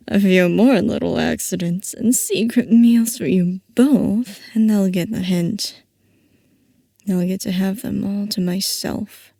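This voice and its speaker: A woman playing a playful, possessive scientist boss who dotes on her assistant, in a soft, coaxing ASMR roleplay. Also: medium pitch, creaky, no echo, clean studio sound. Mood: sly, coaxing. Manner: soft, coaxing, affectionate villainy.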